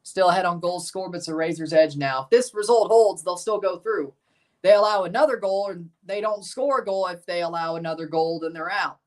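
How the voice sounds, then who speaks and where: A man's voice: sits high, a little fast, far from the mic. A man with a Southern American accent chatting casually and rambling through a live match watchalong, with some mild swearing. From United States. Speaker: streamer.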